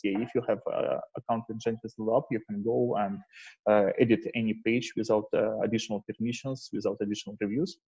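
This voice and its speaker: Male meetup presenter, mellow and husky, hesitant informal delivery, lo-fi call audio. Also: on the low side. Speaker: presenter at an online developer meetup. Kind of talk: informal technical presentation with slides. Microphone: video call microphone. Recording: dull, muffled lo-fi online call.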